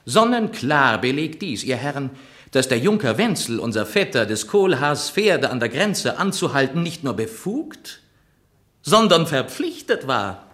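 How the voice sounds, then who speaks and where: A man's voice: medium pitch, very animated, unhurried, fairly bright tone. An actor playing an arrogant, scheming nobleman in a German radio drama, speaking in a rhetorical, emphatic way. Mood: haughty, triumphant. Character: arrogant, scheming young nobleman defending his kin.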